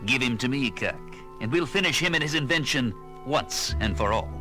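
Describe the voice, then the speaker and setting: Alien ambassador, male actor, blustering, scornful, animated pitch, sci-fi audio drama, loud music bed. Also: normal speed, close to an everyday voice. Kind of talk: science-fiction audio drama acting.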